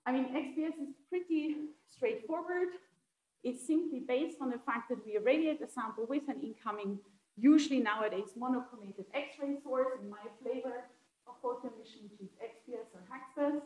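A woman from Austria, a native German speaker, giving a conversational academic seminar with informal, self-deprecating asides. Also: fairly high pitch. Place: indoor seminar room with projection screen. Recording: echoey lecture room.

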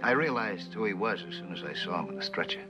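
A middle-aged man playing a guilty, conscientious company man in a 1960s television drama, with a halting, understated delivery. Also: deep voice, expressive, loud music behind the voice. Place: indoor living room set.

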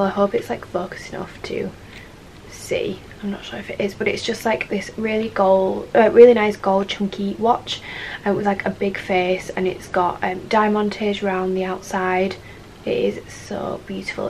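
A young woman with a Yorkshire accent gushes about her gifts in a casual vlog, her voice sitting at an ordinary middle pitch. She sounds slightly sniffly from a cold and fills her talk with "um" and "like". A faint room hum sits behind her in the bedroom. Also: poor audio. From Leeds, West Yorkshire, England. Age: 20s. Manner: gushing, enthusiastic, many fillers like "um" and "like". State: has a cold, a bit sniffly. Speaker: lifestyle vlogger. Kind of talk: casual chatty haul video. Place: bedroom, indoors.

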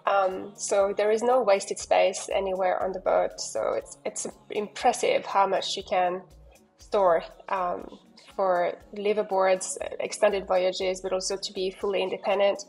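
A woman's voice: mid-range voice, little pitch movement, unhurried, darkish tone, breathy edge, faint music behind, low-quality recording. A woman giving an enthusiastic walkthrough of a sailing yacht as a broker, talking loosely with fillers and restarts. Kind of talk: walkthrough narration.

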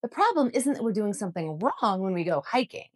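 Woman, 30s, lively pitch, earnest, emphatic, scripted vlog to camera, home room. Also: fairly quick, slightly rough. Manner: earnest, emphatic, gestures with both hands. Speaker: outdoor vlogger. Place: home room with bookshelves, indoors.

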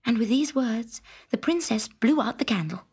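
A woman narrating a scripted children's story in an expressive, dramatic manner with breathy emphasis. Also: fairly high pitch, moderate pace.

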